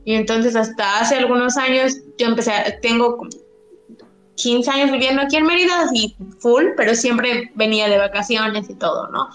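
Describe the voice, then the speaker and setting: A woman's voice: on the high side, normal speed, a bit bright, soft background music. Woman from Yucatán, echoey room. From Mexico, Yucatán. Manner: rambling, many restarts and fillers. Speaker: interviewee. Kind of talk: casual conversational interview.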